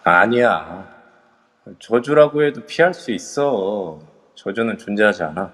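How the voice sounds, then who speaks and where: A man's voice: fairly low pitch, lively intonation, measured pace, a bit bright, big-room sound. An actor playing an anxious but determined young man in an audio drama, speaking with tense urgency. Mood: uneasy. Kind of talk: amateur audio drama acting.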